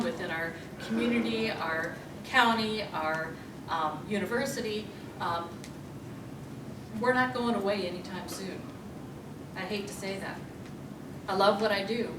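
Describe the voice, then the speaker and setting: A woman who directs a victims' advocacy agency, speaking earnestly to an audience with many pauses and fillers. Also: medium pitch. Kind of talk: panel introduction to an audience. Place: hall with a live audience. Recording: echoey room, distant mic, loud hiss.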